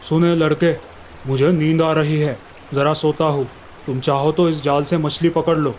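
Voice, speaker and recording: A man voicing a grumpy, lazy young village fisherman with a blustering, exclamatory delivery in an animated fairy tale. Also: a little high, moderate pace, slight background noise, natural voice. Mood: annoyed.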